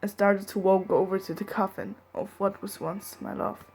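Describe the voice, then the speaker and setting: A woman's voice: medium pitch, fairly even intonation, normal speed, darkish tone, slightly rough. Young woman reading fanfiction aloud. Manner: reads in first person, giggles and breaks off to joke. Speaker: fanfiction reader.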